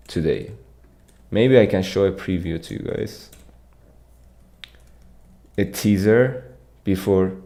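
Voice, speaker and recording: A young man from Iran, a competitive programming streamer, casually answering live chat while reading it aloud. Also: fairly low pitch. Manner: relaxed, reads and answers chat. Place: small dim room with pink light. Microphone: studio mic on arm.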